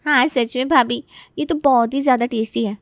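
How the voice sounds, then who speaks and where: A woman's voice: sits high, steady tone, fairly quick, dry recording, pitched above the performer's own voice, natural voice. An eager college-age younger sister from an Indian family cartoon, played by a woman. She gushes in a girlish way, recorded close and dry. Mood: delighted.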